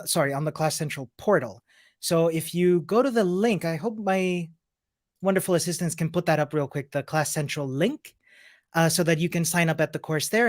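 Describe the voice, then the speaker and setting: An upbeat, chatty woman from Chile leads a live online coding class. She greets viewers warmly and explains each step clearly while sharing her screen, talking into a computer mic. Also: fairly low pitch. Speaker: coding instructor. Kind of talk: live-streamed coding lesson.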